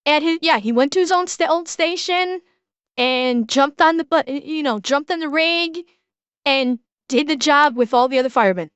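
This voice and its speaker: A high-pitched woman with a broad Midwestern accent, chatting loosely to her stream audience as she reacts to a clip. She rambles, restarts her sentences and corrects herself mid-thought. Her voice sounds dry and close, with no room around it. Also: normal speed, fairly bright tone, no room sound, highs cut off. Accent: broad Midwestern American. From Chicago, USA. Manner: rambling, self-correcting, talks to chat. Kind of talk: casual reaction stream chat.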